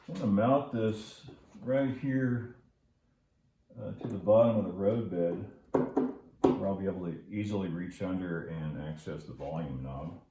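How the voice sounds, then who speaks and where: Low-pitched middle-aged man, hobby host. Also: noisy background. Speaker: host of a model railway channel. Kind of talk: casual hands-on workshop tutorial. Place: indoor hobby workshop.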